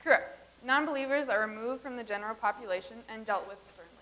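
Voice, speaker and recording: Brief: woman reading as a matter-of-fact future visitor, earnest and deadpan, slightly high voice. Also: fast talker, rough recording. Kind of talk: staged comedy reading.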